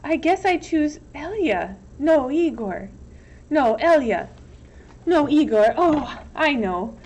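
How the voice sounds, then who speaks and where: A woman's voice: high voice, big pitch swings, takes it slowly, a little mellow, voice pushed up, exaggerated voice. A woman voicing a sweet, dithering, empty-headed young daughter in a storybook. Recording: dull, lo-fi with some background noise.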